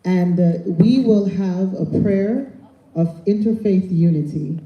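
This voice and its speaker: A woman's voice: medium pitch, slow pace, muffled recording. A woman speaking as master of ceremonies, giving a formal introduction at an event. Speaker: event emcee. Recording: distant, echoey, noisy event recording.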